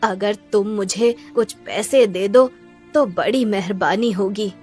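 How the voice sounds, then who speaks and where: A woman's voice: mid-range voice, measured pace, darkish tone, soft background music, natural voice. A woman voicing a poor, pleading old village aunty in a children's cartoon story.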